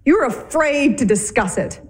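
Actress as a high-pitched, melodramatic young wife. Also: female voice, expressive, unhurried. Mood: angry, scornful. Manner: dramatic, emphatic, indignant. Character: melodramatic, indignant, impulsive young newlywed wife. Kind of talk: theatrical comedic acting.

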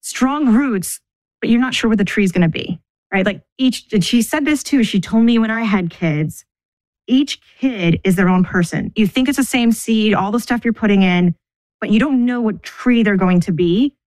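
A middle-aged woman from the United States, talking thoughtfully about her upbringing as an interview guest, pausing and restarting as she thinks. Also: medium pitch, a little mellow, dry recording, rough recording. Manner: thoughtful, measured, restarts mid-sentence. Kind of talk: reflective personal interview.

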